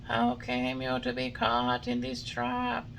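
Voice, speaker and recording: Man doing a tiny glowworm lady: high, soft, slow and gently moralizing. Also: very animated, vocal fry, slight background noise, heavily acted. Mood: gently scolding. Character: small, gentle, pitying female glowworm.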